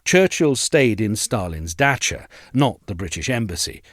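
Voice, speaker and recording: A man reading a scripted history essay aloud as narrator, in a measured, articulate and formal delivery. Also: on the low side, sing-song, fairly bright tone, dry recording, studio quality.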